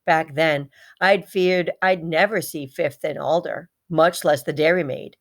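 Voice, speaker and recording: A woman's voice: low voice, steady tone, a little slow. A woman voicing a small, proud, heartbroken male pet hamster in a dramatic children's storybook read-aloud, repeating words for emphasis. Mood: wistful, eager.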